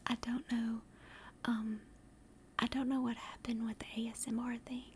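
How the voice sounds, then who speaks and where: A woman chatting casually to livestream viewers in a soft, breathy ASMR whisper, gentle and rambling, close to the microphone. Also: flat delivery, normal speed, slight background noise. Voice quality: soft, breathy whisper and hushed low-volume speech. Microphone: close directional microphone.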